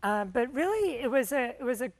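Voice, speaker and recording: A woman's voice: high voice, sing-song, a little fast, no room sound. A middle-aged woman from Michigan, Tamil her first language, talking in an interview, thinking aloud with frequent ums and restarts. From Michigan, USA. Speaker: guest. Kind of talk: conversational interview.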